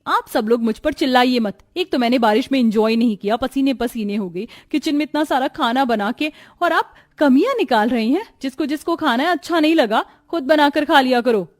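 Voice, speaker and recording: Woman voicing a high-pitched, haughty young daughter-in-law. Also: a little fast, hardly any pauses, lightly acted. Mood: angry, snapping. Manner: shrill, snapping, taunting. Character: haughty, sharp-tongued young daughter-in-law, spoiled and scornful.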